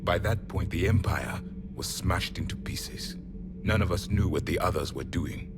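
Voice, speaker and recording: A deep-voiced, ancient alien warrior, the last of his kind. He speaks with cold pride and grave, measured disdain, as in a video game, over prominent music. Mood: somber. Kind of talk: video game character dialogue.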